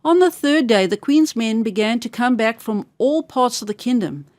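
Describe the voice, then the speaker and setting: A woman storyteller reads a fairy tale for children with careful, expressive diction. Her voice is high and strongly animated, recorded dry with hardly any room around it. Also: moderate pace, no room sound.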